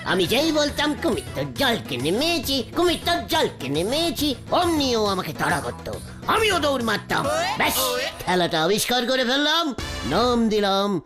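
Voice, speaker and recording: A woman's voice: on the high side, sing-song, moderate pace. A woman voicing a boastful cartoon uncle who spins tall tales in a dramatic, bantering way. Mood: dramatic storytelling. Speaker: storyteller character. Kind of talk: animated cartoon storytelling. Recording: music under the voice.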